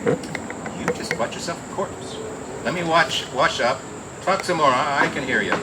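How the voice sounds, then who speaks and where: An actor playing a world-weary, wisecracking private detective with a flippant, hard-boiled manner in an old-time radio drama. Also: male voice, on the high side, a little slow, close to an everyday voice. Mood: groggy, sardonic. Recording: noisy, hissy radio play recording.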